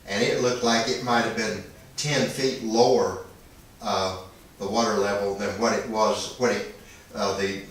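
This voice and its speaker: A man chatting casually as an amateur radio operator in a long, halting, unhurried monologue. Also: fairly bright tone. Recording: echoey, distant, faint noise and rumble.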